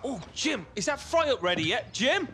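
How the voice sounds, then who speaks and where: An actor playing a cheeky, loud, matey young English best friend in a video game scene. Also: male voice, very high-pitched, sing-song, moderate pace, gravelly, noisy background, exaggerated voice. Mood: hungry, complaining. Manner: bantering, matey.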